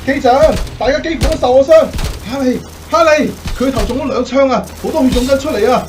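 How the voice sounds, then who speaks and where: A male actor playing a cheeky, excitable young bomber crewman in a radio drama. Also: extremely high pitch, fairly quick, background noise. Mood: urgent, alarmed.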